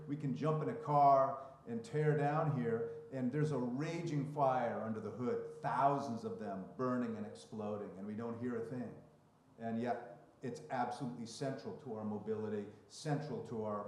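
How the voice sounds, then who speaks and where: A man at a live library event, moderating the talk and thinking aloud at length. His mid-pitched voice rings out through a roomy space. It sounds like a public room picked up through the house microphone. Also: darkish tone, slight background noise. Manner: reflective, expansive, thinking aloud. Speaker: moderator and writer. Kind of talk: live panel conversation. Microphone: handheld or stand mic.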